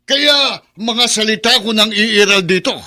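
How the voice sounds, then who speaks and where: A man playing a greedy, domineering middle-aged brother-in-law with a Filipino accent, forceful and sneering in an audio melodrama. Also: high-pitched, measured pace, heavily acted. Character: greedy, bullying brother-in-law, domineering older male villain. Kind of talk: melodramatic radio drama acting.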